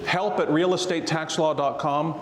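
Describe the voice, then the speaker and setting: A man's voice: on the high side, fairly even intonation, normal speed. A man, a tax attorney, telling stories and explaining tax rules to a live audience, laughing often. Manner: jokey storyteller, laughs often. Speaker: real estate tax attorney guest. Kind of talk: casual talk to a live audience. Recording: roomy hall reverb.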